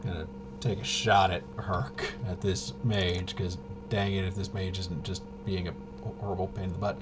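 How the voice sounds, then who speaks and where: A man's voice: sits low, level tone, normal speed, music in the background. A man giving casual play-by-play of a tabletop roleplaying game, muttering and thinking aloud as he goes. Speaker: solo tabletop game player and host.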